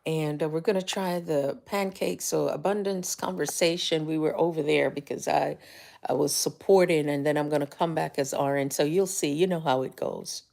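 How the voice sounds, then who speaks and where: Older jamaican woman, low gravelly voice, motivational livestream host, animated storyteller. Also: normal speed. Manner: animated storytelling, rises high when excited, drops to whispers. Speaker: life coach and livestream host. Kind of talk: casual motivational livestream talk.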